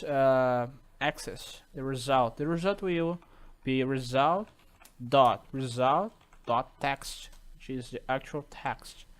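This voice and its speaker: Slow, halting man with a Brazilian accent. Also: medium pitch. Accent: Brazilian Portuguese. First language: not English. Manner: slow, halting, many fillers and restarts. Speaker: programming tutorial host. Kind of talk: screen-recorded coding tutorial.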